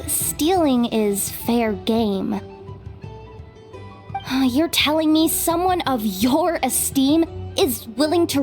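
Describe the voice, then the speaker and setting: A woman playing a level-headed, wary young businesswoman in a video game, speaking in a pointed, skeptical manner. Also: high-pitched. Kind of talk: dramatic video game voice acting.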